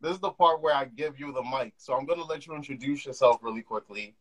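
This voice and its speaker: A man's voice: mid-range voice, fast, slight background noise, rough recording. A young man hosting a mental health podcast over a call, talking with enthusiasm in loose run-on phrases. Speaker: podcast host. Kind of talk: casual conversation.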